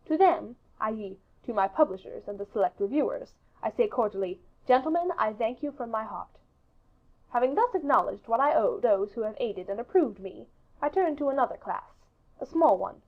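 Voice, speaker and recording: A woman's voice: a little high, dull audio. A woman reading a literary audiobook in a formal, precise and emphatic way. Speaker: audiobook narrator. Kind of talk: scripted literary audiobook narration.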